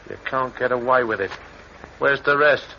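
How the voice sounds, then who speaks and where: An actor playing a threatening gang boss in an old radio crime drama, sneering and menacing. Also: male voice, medium pitch, moderate pace, sharp tone, slight background noise. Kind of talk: 1950s radio drama acting. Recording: dull lo-fi old radio recording.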